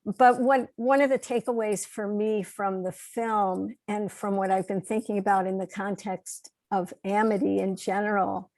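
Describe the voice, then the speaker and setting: Woman, medium-pitched voice, earnest, thoughtful, reflective personal talk, panelist, dry close sound. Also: darkish tone, no room sound. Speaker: roundtable panelist. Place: online video meeting.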